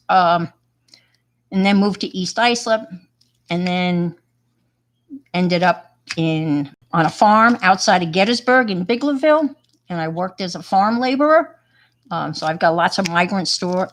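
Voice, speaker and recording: An older woman from Long Island with a clear New York accent talks in a loose, rambling way. Her voice sits a little low, and she often laughs at her own work while telling stories about herself. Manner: rambling, self-deprecating, chatty with fillers. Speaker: retired teacher and hobby artist. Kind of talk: casual show-and-tell chat.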